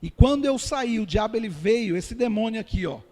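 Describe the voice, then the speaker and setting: High-voiced Brazilian pastor in echoing hall. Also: male voice, lively intonation, a little mellow. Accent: Brazilian Portuguese. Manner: addresses listeners as dear ones and brothers, dramatic slowdowns. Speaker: pastor giving testimony. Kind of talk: sermon testimony to a congregation. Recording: long hall reverb.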